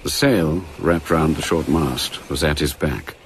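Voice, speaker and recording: An actor as the measured narrator of a radio spy drama, reading scripted storytelling. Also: male voice, rumbling low, a little hiss or hum.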